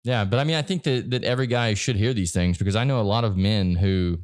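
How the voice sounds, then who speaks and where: Fast-talking blunt young man host. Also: on the low side, clear, resonant tone, no echo, clean studio sound. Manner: blunt, profane, rapid talk. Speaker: podcast host. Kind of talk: casual chat. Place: bar.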